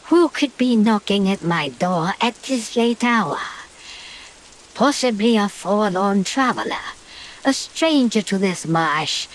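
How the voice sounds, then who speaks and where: A woman's voice: medium pitch, low-quality recording. A British woman playing a haughty, power-hungry witch in a horror-comedy radio play, dramatic and sardonic, with a British accent. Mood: wary. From Britain. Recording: hissy track with rain noise. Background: rain and hiss.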